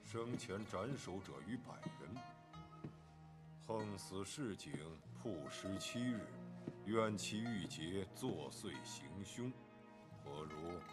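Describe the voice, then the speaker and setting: A man playing a strict older clan teacher in an animated drama, lecturing formally. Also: low-pitched, takes it slowly. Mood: testing, severe. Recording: loud music over dialogue.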